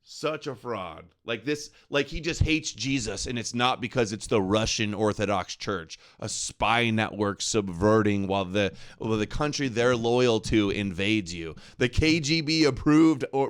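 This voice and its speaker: A man reacts to a clip on his own show, mocking and arguing with it. His voice is smooth and resonant, and his tone stays sarcastic throughout. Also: fairly low pitch, unhurried, hardly any pauses. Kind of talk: live reaction commentary.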